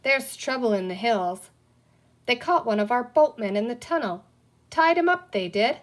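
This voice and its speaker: A woman's voice: on the high side, lively intonation, moderate pace, natural voice. A small, playful storybook outlaw, voiced by a woman. He talks in folksy, clipped cowboy slang with a conspiratorial manner. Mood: dark, secretive. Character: playful little man, folksy pretend outlaw.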